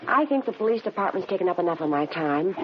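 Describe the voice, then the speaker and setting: A woman's voice: fairly low pitch, lively intonation, quick pace, lightly acted. Prim, indignant female suspect played by an actress, formal and defensive, vintage radio crime drama, dull band with faint noise. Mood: haughty, annoyed. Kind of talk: 1950s radio drama acting. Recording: muffled old radio broadcast, faint noise.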